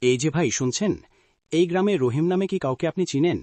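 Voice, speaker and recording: A man's voice: fairly high pitch, fast, warm tone, no echo, natural voice. A man playing a polite, trusting factory manager from town in a cartoon, with a courteous way of talking. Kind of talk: cartoon voice acting.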